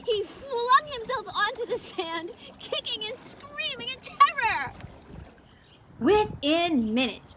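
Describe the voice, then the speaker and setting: Female storyteller for children's picture book, high bright voice, theatrical and suspenseful, noisy recording. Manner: theatrical, expressive, drawn-out suspense. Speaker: drama teacher reading a children's book aloud. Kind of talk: dramatic, animated children's storytelling.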